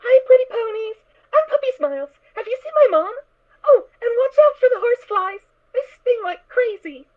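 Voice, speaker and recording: A woman's voice: very high-pitched, expressive, normal speed. A woman voicing a naive, cheerful little filly pony in an audio drama, bubbly, childish and stubborn. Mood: cheerful, curious. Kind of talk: fan audio drama acting. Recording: tinny, narrow phone-like audio.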